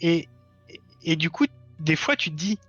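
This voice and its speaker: A man's voice: on the high side, lively intonation, unhurried, a bit bright, slightly rough, no room sound, soft background music. A man talking as a guest in a casual, reflective conversation, explaining earnestly and rephrasing as he goes. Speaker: interview guest, fiction writer. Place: live online stream. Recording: dull lo-fi voice link.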